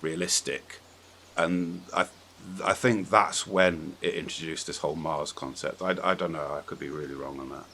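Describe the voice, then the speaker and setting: A man's voice: extremely low pitch, fairly even intonation, moderate pace, few pauses, a bit bright. A man hosting a podcast, chatting casually about films and games in a tentative, rambling way, thinking aloud as he goes. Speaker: podcast host. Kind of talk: casual film and game chat. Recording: clearly audible background noise.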